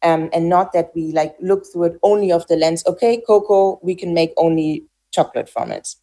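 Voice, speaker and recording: A woman giving reflective interview answers, pausing often with fillers and restarting her sentences as she works out her thoughts. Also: on the low side, warm tone. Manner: hesitant, frequent fillers and restarts. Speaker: interviewee, farm founder.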